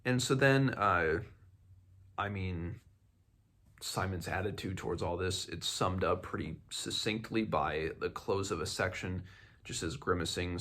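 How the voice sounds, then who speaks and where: A man hosting a book read-along, talking casually and haltingly as he thinks aloud. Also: sits low, a bit bright.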